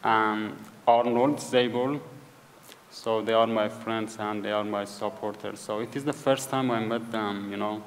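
A man with a Kurdish accent speaking English as a second language, halting and searching for words as a guest at a live event. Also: on the low side, steady tone, slight background noise. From Iranian Kurdistan. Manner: hesitant, searching for English words, repeats himself. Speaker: author guest. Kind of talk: conversational interview on stage. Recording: hall reverb at a live event.

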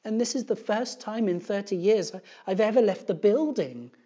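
A long-suffering woman schoolteacher from a children's storybook, as a man reads her aloud. The voice is pitched high and swoops about, plaintive and full of complaint. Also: lively intonation, moderate pace, mellow. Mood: aggrieved. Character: aggrieved, weepy woman schoolteacher, long-serving and unappreciated. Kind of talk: children's storybook character reading.

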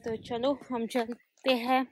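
A woman's voice: a little high, level tone, slow, slight background noise. A young woman talking straight to her viewers in a casual travel vlog, filmed on a phone held at arm's length outdoors. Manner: chatty, addresses viewers directly. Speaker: vlogger. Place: outdoors at a hill resort.

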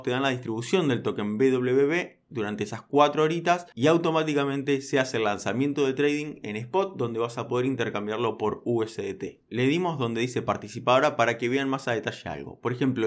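A man with an Argentine accent, walking viewers through a crypto platform in a casual, direct tutorial voice. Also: medium pitch. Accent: Argentine Spanish. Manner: quick, direct second-person explainer. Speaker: crypto YouTuber. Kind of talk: screen-recorded tutorial.

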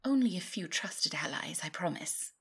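A woman's voice: lowish voice, lively intonation, normal speed, vocal fry, fairly bright tone, slightly rough, exaggerated voice. Woman acting a haughty, swooping griffin courtier. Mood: earnest, anxious. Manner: pompous, theatrically offended, pleading. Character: haughty, indignant female griffin, devoted courtier. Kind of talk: fantasy audio roleplay acting.

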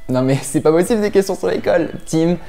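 A young man from southern France answering quick-fire questions on a lapel mic in a small room, hesitating with many fillers and laughing often. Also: high voice, expressive, vocal fry, dark tone, faint music behind. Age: young adult. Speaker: guest youtuber.

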